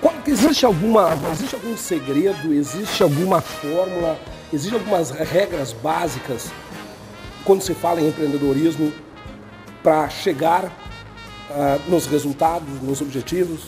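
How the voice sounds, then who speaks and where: A man's voice: on the high side, moderate pace. A man hosting a casual cooking show in a showroom kitchen, talking in a chatty way with restarts. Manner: chatty, many restarts and fillers. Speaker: host. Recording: music under the voice. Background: background music.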